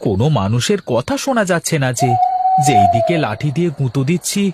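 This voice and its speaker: A man's voice: mid-range voice, very animated, brisk, heavy background noise, close to an everyday voice. Guy doing a grumbly blind village husband, cartoon style, voice jumps all over, music under it. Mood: uneasy. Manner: exclamatory, theatrical grumbling. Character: blind village husband, hapless, aggrieved and excitable. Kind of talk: Bengali comic cartoon dialogue.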